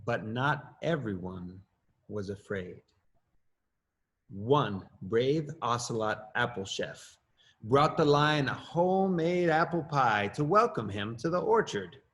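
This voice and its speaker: A middle-aged man, an assistant principal, reading his own children's story aloud with lively rises in pitch. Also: slow pace. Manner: expressive, lively rises on questions and exclamations.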